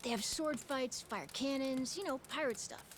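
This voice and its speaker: A woman's voice: high voice, normal speed, a little hiss or hum, natural voice. A woman voicing a restless, eager young island boy with an American accent and casual speech in a video game cutscene. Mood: casual, explaining. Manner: casual, youthful talk. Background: game music.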